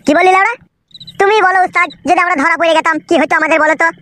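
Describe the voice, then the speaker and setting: A man voicing a cheeky, foul-mouthed young male troublemaker in a comedy cartoon, with a pitched-up voice. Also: fairly even intonation, racing, pushed and bright. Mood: cheeky, breathless. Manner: crude, slangy, complaining.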